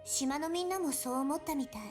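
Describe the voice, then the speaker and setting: Actress for enigmatic anime-game girl, high and quick, soft, faintly wistful. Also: faint music behind, close to an everyday voice. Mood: matter-of-fact. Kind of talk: Japanese visual novel voice acting.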